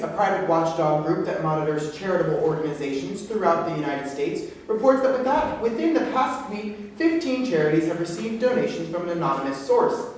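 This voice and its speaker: A woman's voice: lowish voice, darkish tone, lightly acted. A woman playing a formal television news reporter, announcing a scripted bulletin in a staged reading. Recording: echoey room, distant mic, faint noise.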